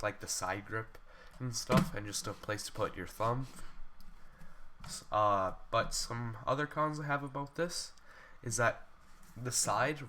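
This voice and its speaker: A young man with a cold, sounding congested, casually reviewing computer mice and rambling with fillers and restarts. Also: medium pitch. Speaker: tech reviewer. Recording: faint background noise, poor quality capture.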